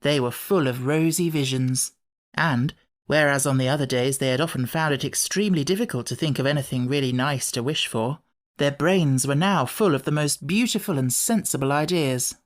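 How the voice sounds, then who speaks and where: Lady reading a kids audiobook, low voice, storybook narration. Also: moderate pace. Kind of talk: scripted narration of a children's storybook.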